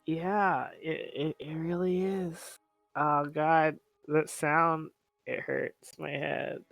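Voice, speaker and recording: A young man playing a tabletop roleplaying game, speaking in character in a halting, stammering way. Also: on the high side, steady tone, vocal fry, fairly bright tone, no room sound, faint music behind. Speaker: player.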